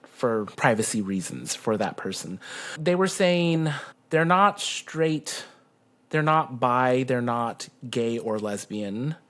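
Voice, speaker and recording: A podcast co-host with a medium-pitched voice chats casually. The delivery is informal and giggly, with an easy storytelling flow of personal anecdotes. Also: expressive, slow pace, fairly bright tone. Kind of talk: casual chat.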